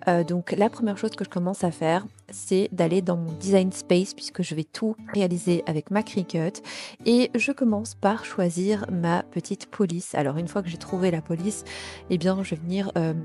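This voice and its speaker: A woman's voice: fairly low pitch, fairly even intonation, moderate pace, faint music behind. A young woman whose first language is Portuguese, chatting casually through a crafts tutorial with many small fillers. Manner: chatty, warm, many fillers like euh and ben. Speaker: DIY and family vlogger.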